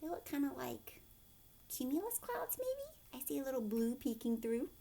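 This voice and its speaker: Animated woman teaching young children. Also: high voice, moderate pace, gravelly, background noise. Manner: expressive, addressing young children with simple questions. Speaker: teacher reading aloud to children. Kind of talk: children's read-aloud lesson, explaining and asking questions. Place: living room at home, indoors. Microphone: webcam or laptop at arm's length.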